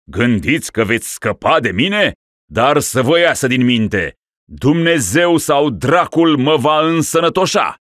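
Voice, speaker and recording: A man voicing a hoarse raving dying prince. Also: medium pitch, animated, no room sound, clean studio sound, pitched above the performer's own voice, lightly acted. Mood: raging. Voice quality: hoarse, shouting. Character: dying deposed male prince, raving, furious, vengeful. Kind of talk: audiobook reading of a classic story.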